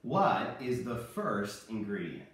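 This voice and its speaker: A man in his thirties presenting a cooking lesson for children, playing the animated straight man. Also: fairly low pitch, slow. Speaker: host of a children's cooking show. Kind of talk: scripted instructional presenting with puppet banter. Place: home kitchen. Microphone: camera a few meters away. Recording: very echoey room, distant microphone.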